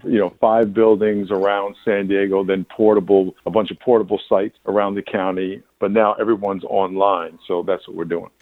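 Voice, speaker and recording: A man's voice: deep voice, flat delivery, moderate pace, few pauses, dry recording. A man in his sixties from New York, a pastor answering interview questions candidly, rambling with restarts. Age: 60s. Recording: tinny phone line.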